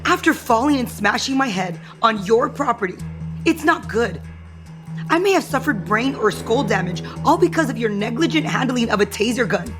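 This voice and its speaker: An actress playing a proud, bitter, scheming rich wife in an audio soap opera, haughty and cutting. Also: female voice, sits high.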